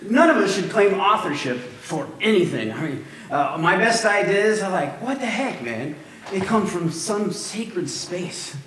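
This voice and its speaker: An actor playing an eager young shuttle driver and struggling sculptor in live musical theatre, with halting, casual, slangy speech. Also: male voice, high voice, lively intonation, slight background noise. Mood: chummy, excited. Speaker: stage actor in a musical reading. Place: indoor live staged reading. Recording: roomy live stage recording, loud music.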